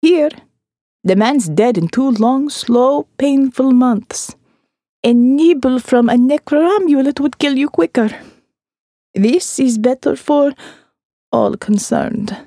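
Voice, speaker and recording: A woman's voice: sits high, slow pace, mellow, no echo, studio quality. A woman voicing a blunt, socially awkward middle-aged male doctor in an audiobook, clinical and matter-of-fact. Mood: detached. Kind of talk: audiobook character dialogue.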